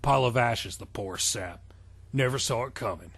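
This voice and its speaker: A man playing a gruff, laconic older wizard mentor in a comic fanfiction reading, speaking slowly and plainly. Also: medium pitch, a bit bright. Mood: laconic, calm.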